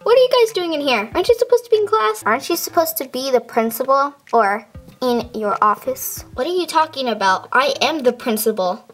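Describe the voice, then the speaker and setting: A woman's voice: high-pitched, expressive, a little fast, fairly bright tone. A woman voicing a bewildered crab school principal lost in a basement, in a toy skit. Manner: bewildered, rambling.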